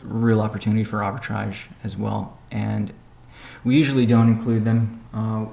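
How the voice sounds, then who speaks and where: Low, gravelly-voiced man on a business call. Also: little pitch movement, moderate pace, dark tone. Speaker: company executive giving an update and answering questions. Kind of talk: conference call business update, semi-scripted. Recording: noisy conference call audio.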